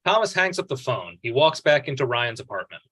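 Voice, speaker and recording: A man's voice: medium pitch, sing-song, a little fast, sharp tone, husky. A man reading stage directions as scripted narration for a table read.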